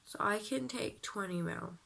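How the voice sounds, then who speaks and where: A young woman with a deep, husky voice, sounding ill and croaky, chatting casually to the camera about her makeup and feeling sick. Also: unhurried, fairly bright tone. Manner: chatty and self-deprecating, sighing. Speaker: beauty vlogger.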